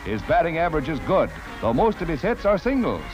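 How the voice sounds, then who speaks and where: A man's voice: on the high side, big pitch swings, a little fast, over music. An American man narrating a 1940s newsreel in a punchy, theatrical announcer style. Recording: vintage 1940s newsreel soundtrack.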